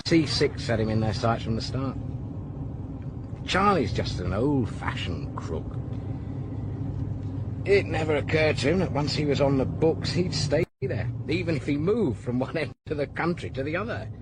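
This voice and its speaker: A man's voice: a little high, animated, normal speed, lightly acted. An actor playing a wry, world-weary, middle-aged police detective in a naturalistic radio drama, musing and hesitant as he talks. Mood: wry, explanatory. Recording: loud car and vehicle noise behind.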